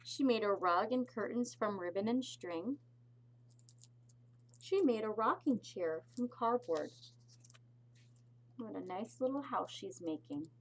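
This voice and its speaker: A woman teacher reads a picture book aloud for young children, expressively and deliberately, adding little explanatory asides. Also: mid-range voice, animated, low-quality recording. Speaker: pre-K teacher reading aloud.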